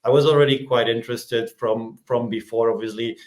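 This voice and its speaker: A man, a podcast guest talking in a relaxed, rambling way as he searches for words. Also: medium pitch, fairly quick. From Belgium. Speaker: podcast guest, startup executive. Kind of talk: casual interview chat.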